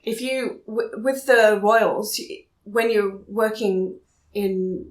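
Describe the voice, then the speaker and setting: An Australian woman working as a photojournalist, telling stories in a chatty, lively way during a casual interview at her home. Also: mid-range voice, slow, roomy sound. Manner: chatty, animated storyteller. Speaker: photojournalist guest. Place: room in her house.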